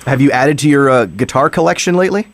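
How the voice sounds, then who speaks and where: A man's voice: medium pitch, expressive, fast. A man hosting a radio interview, asking questions in a conversational way. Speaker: radio host.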